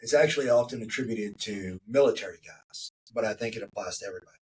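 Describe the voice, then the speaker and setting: Forties male host, husky tone, loose reflective delivery, mic set a bit back. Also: lowish voice, quick pace, far from the mic, poor audio. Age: 40s. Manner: reflective, rambling with many fillers. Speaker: podcast host. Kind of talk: casual interview conversation.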